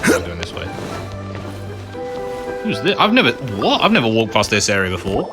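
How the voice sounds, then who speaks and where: A man's voice: lowish voice, huge pitch swings, normal speed. A man giving casual, rambling commentary as he records a video game playthrough. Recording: game music behind voice.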